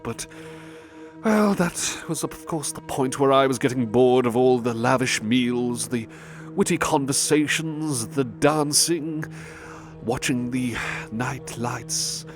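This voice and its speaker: Prominent music plays under an unhurried, aristocratic serial killer in a horror audio drama. He confesses with gloating, theatrical relish, lingering on words and sinking toward a breathy hush. His pitch sits in a middle male register. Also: expressive. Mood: smug, bored disdain. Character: refined, sadistic serial-killer nobleman confessing his crimes.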